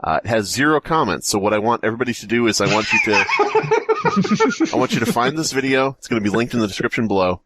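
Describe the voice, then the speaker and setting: A man's voice: medium pitch, wildly swooping pitch, fast talker, very noisy. A man hosting a comedy podcast, chatting casually in a rambling, joking way. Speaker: podcast host.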